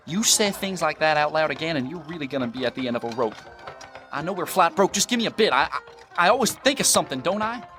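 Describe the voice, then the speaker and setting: A man's voice: fairly high pitch, animated, fast, a bit bright. A man playing a bossy, scheming outlaw brother in a western audio drama, snappy and scornful, with a rural American accent. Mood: scheming, uneasy. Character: irritable scheming bandit, bossy elder brother. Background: music.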